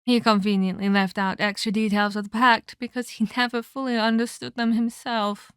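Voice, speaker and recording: A woman's voice: on the high side, little pitch movement, fairly bright tone, smooth, no echo, lightly acted. Woman playing a condescending ancient vampire mentor, close and dry. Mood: musing. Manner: condescending, teasing, measured, addresses listener as child. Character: ancient female vampire, patronizing, scolding mentor with veiled menace. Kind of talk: ASMR audio roleplay.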